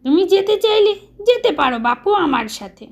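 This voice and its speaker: A woman voicing a huge, placid, kindly bull with deliberate, drawn-out words in a children's story. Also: very high-pitched, very animated, exaggerated voice. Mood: placid, smug.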